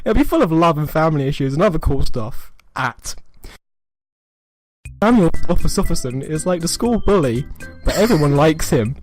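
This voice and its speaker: A man's voice: on the high side, animated, normal speed, a little mellow, no room sound, faint music behind. A man reading an amateur story aloud as a comic dramatic reading, mock-serious, drawing out phrases and stumbling over words. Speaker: reader of amateur fan fiction.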